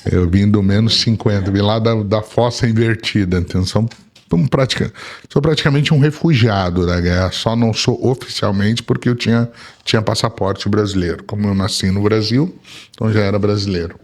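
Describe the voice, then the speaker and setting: A middle-aged man with a very low, deep voice, Arabic as his first language. He speaks slowly and reflectively, stumbling over and repeating words as he searches for what to say. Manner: halting and reflective, many restarts and repeated words, keeps adding "né?". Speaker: podcast guest, entrepreneur. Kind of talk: personal storytelling in a conversation.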